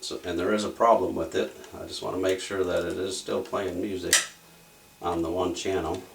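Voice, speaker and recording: A man's voice: sits low, flat delivery, normal speed, gravelly. A man narrating a repair casually and off the cuff, thinking aloud in a folksy, rambling way. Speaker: electronics repair hobbyist demonstrating a fix. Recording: distant mic with faint background noise.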